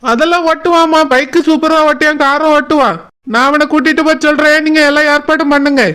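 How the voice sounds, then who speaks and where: A man's voice: extremely high pitch, steady tone, quick pace, bright, forceful tone. A man voicing a dutiful, practical grown son in a village cartoon, obliging in manner, with a Kanyakumari Tamil accent. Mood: busy, obliging. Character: dutiful, practical adult son organizing transport. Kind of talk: village cartoon drama acting.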